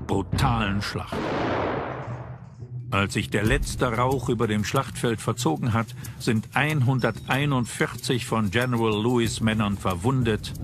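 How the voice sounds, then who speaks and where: Man, lower voice, scripted documentary narration, measured and dramatic, background music. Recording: music behind the voice.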